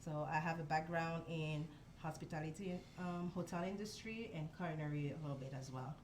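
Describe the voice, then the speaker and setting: A young woman from Haiti talks through her dishes in a soft, unhurried way, pausing often to find words. Her voice sits a little low, and a faint hiss of room noise runs under the studio recording. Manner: soft-spoken, explains step by step with many ums. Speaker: guest, food truck owner. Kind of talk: casual interview.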